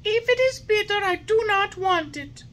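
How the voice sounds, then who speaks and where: A woman voicing a whiny, stubborn puppet boy in a high, shrill voice. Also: expressive, moderate pace. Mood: whining. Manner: shrill, whining, loud.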